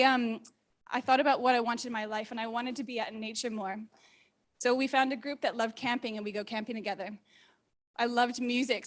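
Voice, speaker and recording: A woman in her thirties with a mixed, hard-to-place accent, telling personal stories informally and in an animated, candid way as a speaker to a live audience. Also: fairly high pitch. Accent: hard-to-place mixed accent, neither clearly American, New Zealand nor Australian. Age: 30s. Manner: animated, candid, acts out quoted thoughts. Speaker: speaker at a community meetup.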